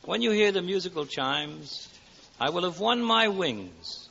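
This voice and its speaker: An actor playing an eager, solemn elderly guardian angel in 1940s radio drama style, with a gravelly voice. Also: male voice, high voice, lively intonation, a little slow, bright, forceful tone, a little hiss or hum, exaggerated voice. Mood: solemn, exultant. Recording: old 1940s radio recording, muffled, reverberant.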